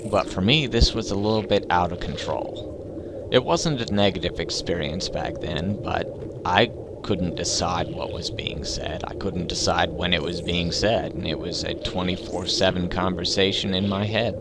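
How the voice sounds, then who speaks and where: Deep crackly young man, slow and gravelly. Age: 20s. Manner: slow, thoughtful, hesitant with fillers. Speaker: gaming channel host. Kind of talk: reflective personal monologue. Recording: loud background noise.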